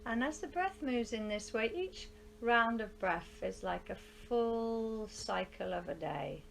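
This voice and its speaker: A woman leading a live guided yoga relaxation in a soothing, unhurried manner, often softening to a breathy near-whisper as phrases end. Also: medium pitch, poor audio. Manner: soothing, unhurried, with long pauses. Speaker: yoga nidra teacher. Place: rural yoga studio, live group session. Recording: faint background noise with outdoor ambience. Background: birdsong and ambience from outside.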